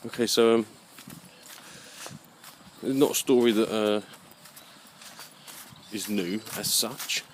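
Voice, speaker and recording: A man giving a rambling, unscripted monologue in a halting, musing way, often repeating his phrases. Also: on the low side, slight background noise. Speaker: vlogger.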